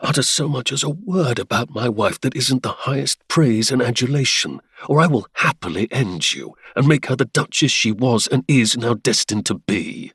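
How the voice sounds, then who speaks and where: A man voicing a cold, menacing young nobleman who speaks low and quietly. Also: animated, normal speed, hardly any pauses, husky, no room sound, studio quality, full character voice.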